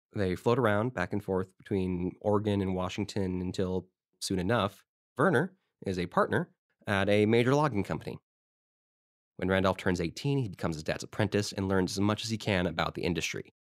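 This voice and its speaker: A man's voice: low voice, no echo, clean studio sound, lightly acted. A man playing an eager small-town historical society president in an audio drama, telling stories in an informal, rambling way. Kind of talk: conversational audio drama monologue.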